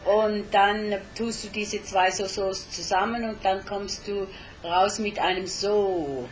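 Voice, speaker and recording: Slow, halting woman interpreter. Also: mid-range voice, fairly even intonation, a little hiss or hum, low-quality recording. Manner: halting, with frequent hesitations. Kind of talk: live interpreting between English and German.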